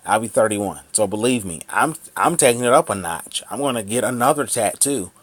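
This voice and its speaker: A man's voice: lowish voice, animated, a little fast, a bit bright, husky, no echo. Profane ranting man in his thirties. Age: 30s. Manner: angry, profane, repetitive ranting. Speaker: vlogger. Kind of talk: ranting vlog monologue.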